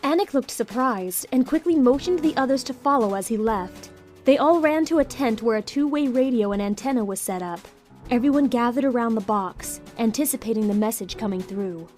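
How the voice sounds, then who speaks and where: A woman's voice: fairly high pitch, animated, a little fast, darkish tone, soft background music. A woman reading scripted story narration for an audio drama.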